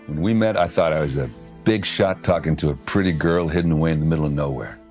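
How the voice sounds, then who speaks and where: Deep-voiced, earnest male adventurer. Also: fast talker, darkish tone, faint music behind. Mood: tender, wistful. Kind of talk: earnest video game drama acting.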